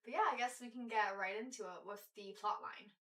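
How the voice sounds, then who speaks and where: A woman's voice: mid-range voice, steady tone, fairly quick, fairly bright tone. A young woman co-hosting a podcast, chatting casually with many fillers and restarts. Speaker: podcast co-host. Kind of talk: casual chat. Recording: roomy echo, distant mic.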